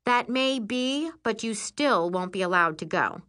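A woman's voice: fairly high pitch, animated, a little slow, a bit bright, voice pushed up. A woman voicing a scornful teenage older sister in an audiobook, indignant and dramatic. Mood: scoffing.

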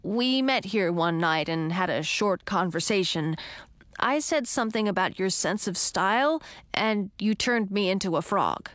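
A woman's voice: mid-range voice, expressive, unhurried, a bit bright, no echo, studio quality. A woman voicing a cautious young male frog, an enchanted prince in a storybook, earnest and careful. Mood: wary.

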